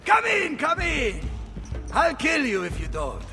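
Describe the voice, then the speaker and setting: Booming, gravelly Italian mercenary commander. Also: male voice, wildly swooping pitch, a little slow, bright, forceful tone, big-room sound, soft background music, exaggerated voice. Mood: boisterous, impatient. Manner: booming, boisterous, theatrical. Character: loud, blustering male mercenary commander with a hearty temper. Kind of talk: video game cutscene acting.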